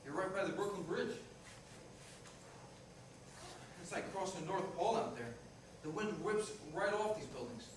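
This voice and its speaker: A man's voice: sits high, fairly quick, close to an everyday voice. A man playing a frank, remorseful working-class ex-fiancé in a staged reading, speaking in a plain, matter-of-fact way. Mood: descriptive. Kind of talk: staged reader's theater dialogue. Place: indoor performance room, distant microphone. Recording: echoey noisy room recorded from far off.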